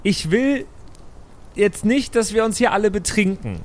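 A woman's voice: mid-range voice, lively intonation, a little slow. A woman improvising casual in-character dialogue in a role-play podcast, argumentative and insistent.

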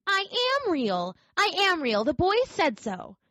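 A woman's voice: sits high, wildly swooping pitch, moderate pace, fairly bright tone, dry recording, studio quality, pitched above the performer's own voice, full character voice. A woman doing a timid little toy rabbit. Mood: insistent. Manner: pleading, earnest. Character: small timid male toy rabbit, earnest and wistful. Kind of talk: storybook reading.

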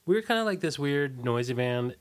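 A middle-aged American man with a mid-pitched voice talks as a guest in a casual interview. He rambles and hesitates, pausing mid-thought and leaning on "like" as he reminisces. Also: lively intonation. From Washington, D.C., USA. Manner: halting, hesitant, rambling, frequent "like".

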